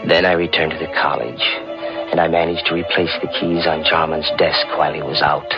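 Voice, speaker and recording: A man's voice: rumbling low, little pitch movement, fairly quick. A man acting an obsessive, scheming young chemistry teacher and narrator in a 1940s radio mystery, dramatic and brooding. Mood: tense, secretive. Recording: muffled vintage radio recording with music.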